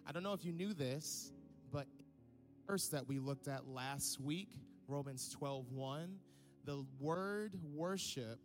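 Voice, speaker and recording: A man serving as worship pastor, speaking to the church in an upbeat, conversational way and asking for the congregation's response. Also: mid-range voice. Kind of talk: church announcement.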